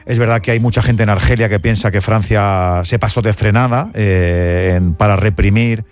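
A man's voice: on the low side, steady tone, moderate pace. A man co-hosting a radio sports show, explaining background while thinking aloud.

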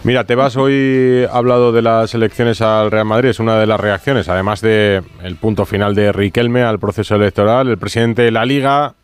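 A man's voice: on the low side, steady tone, moderate pace, few pauses, clear, resonant tone, dry recording. A man hosting a sports radio show, chatting casually into a studio mic. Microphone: close studio mic.

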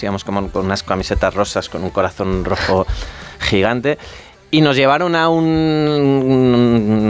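A man's voice: mid-range voice, clean tone. A man with a central Spanish accent answering casually as a band musician in an interview, reflective and self-deprecating. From Spain.

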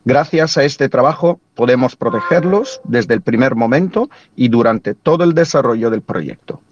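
A man giving a measured, formal statement as a company spokesman. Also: medium pitch, animated. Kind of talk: prepared statement. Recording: dull dry clip.

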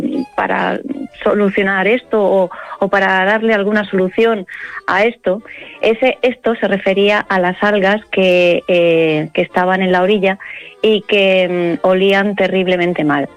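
Halting Spanish woman on dull lo-fi radio. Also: mid-range voice. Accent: Spain. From Murcia, Spain. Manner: halting, hesitant delivery with frequent pauses. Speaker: interview guest, university professor and scientist. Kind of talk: spontaneous explanatory interview answers.

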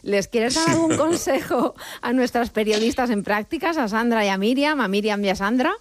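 A woman's voice: fairly high pitch, expressive, normal speed, a little hiss or hum. A woman hosting a radio travel program, introducing guests and asking friendly questions. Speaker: radio host. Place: studio.

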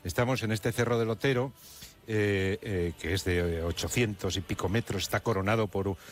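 A man's voice: on the low side, moderate pace. A man with an accent from Spain giving a live radio report from location, repeating words for emphasis.

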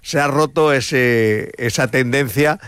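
A man's voice: mid-range voice, slow, fairly bright tone, clear, resonant tone, no echo. A man making wry, sardonic remarks as a radio sports pundit.

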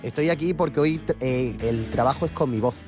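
A man's voice: medium pitch, over music. A man in casual comedic radio banter, playful and often breaking off his own sentences. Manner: playful, halting, self-interrupting. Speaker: comic radio segment contributor.

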